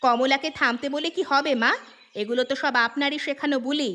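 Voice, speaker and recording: A woman's voice: high-pitched, fairly even intonation, a little fast, pushed and bright. A woman playing an outspoken daughter-in-law in a cartoon family story, with pointed, emphatic delivery over music. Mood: pointed, needling. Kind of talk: cartoon family drama acting. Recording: music behind the voice.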